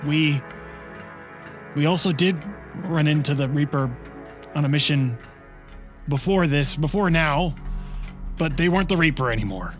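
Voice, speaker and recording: A man playing a casual, evasive soldier reporting haltingly to his commander in a tabletop roleplay. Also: a little high, lightly acted. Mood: hesitant. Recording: music bed under the voice.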